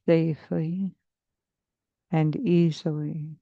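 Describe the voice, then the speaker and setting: A woman therapist leading a guided meditation in a soothing, measured, hypnotic manner with long pauses, on slightly muffled audio. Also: deep voice, steady tone, no echo. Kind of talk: slow guided meditation narration.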